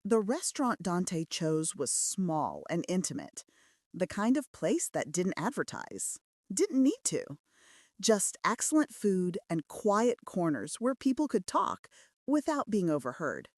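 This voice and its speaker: Woman narrating romantic fiction, expressive and close. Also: lowish voice, unhurried, no echo. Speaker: audiobook narrator. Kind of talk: scripted romance story narration in first person.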